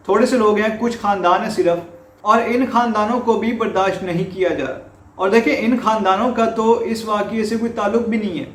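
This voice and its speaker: A high-pitched male voice with a Pakistani accent, recorded in an echoey room. He presents news commentary in an explanatory way, restating key points for emphasis. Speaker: host presenting a news report.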